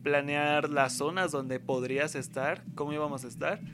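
Slangy young Mexican man, slightly high voice. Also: fairly even intonation, normal speed, over music. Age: young adult. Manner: slangy, rambling, acts out quoted speech. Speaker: university student. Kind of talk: casual conversation.

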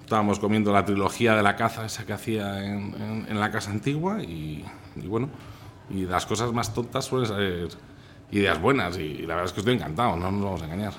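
A man's voice: lowish voice, a little fast, fairly bright tone, a little hiss or hum. A man with an accent from Spain, chatting candidly and colloquially as a guest in a casual interview. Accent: Spanish from Spain. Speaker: interview guest, food recommendation influencer. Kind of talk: casual interview chat, anecdotes.

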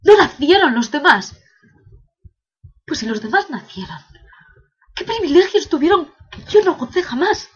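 A woman's voice: high-pitched, sing-song, measured pace, long pauses, dull audio. A woman playing an anguished imprisoned prince in a declamatory, impassioned classical verse monologue, bitterly questioning heaven. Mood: indignant questioning.

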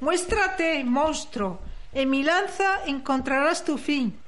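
Woman acting as bold young warrior, high lively voice, slow declamatory lines, amateur radio play. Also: heavily acted. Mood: defiant.

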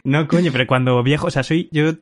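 Male guest, from Spain, rapid anecdote delivery, laughs through his story, salty language. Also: a little high. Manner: laughs often, swears casually, quotes himself. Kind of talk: casual anecdote telling.

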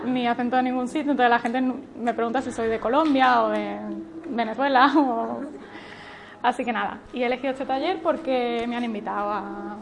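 A woman's voice: on the high side, creaky, slight background noise. A woman from Málaga leading an informal group workshop, encouraging people and prompting them with questions. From Málaga, Spain. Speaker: workshop leader.

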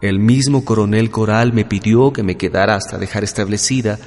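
A man's voice: on the low side, a little fast, a little mellow, close to an everyday voice. An Ecuadorian man playing an earnest young schoolteacher, in love and wounded, in a radio drama. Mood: reflective. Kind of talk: Spanish-language radio drama acting and narration. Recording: long reverb, music behind.

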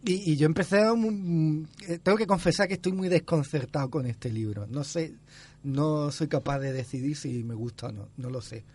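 Guy in a book club, kinda high voice, stumbles and restarts a lot, laughs at himself. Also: a little mellow. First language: not Catalan. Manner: hesitant, halting and self-correcting, chuckles through anecdotes. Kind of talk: casual discussion.

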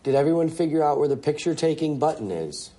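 Male host, animated pitch, slightly husky, cheerful instructor. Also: fairly quick. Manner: upbeat, instructing. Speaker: video host. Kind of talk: hosted video segment.

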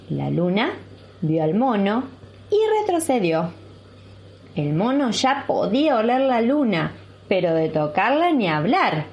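A woman's voice: mid-range voice, a little mellow. A woman with an Argentine accent, a kindergarten teacher speaking to young children as a storyteller. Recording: clear background noise.

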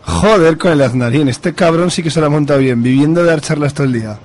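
A man's voice: a little high, fast talker, a little mellow, natural voice. A man playing a jovial, crude, chummy conservative fellow in a comic radio soap, hearty and slangy.

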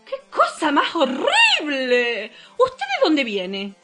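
Actress as a slow, shrill, snooty madame. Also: female voice, huge pitch swings, sharp tone, soft background music, heavily acted. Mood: disdainful. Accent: Argentine. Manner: affected, melodramatic. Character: snooty, melodramatic married high-society madame. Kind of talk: comic radio play acting.

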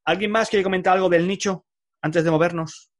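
A man leading a group discussion on a video call, energetic and addressing everyone. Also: sits high, fairly quick. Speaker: course leader.

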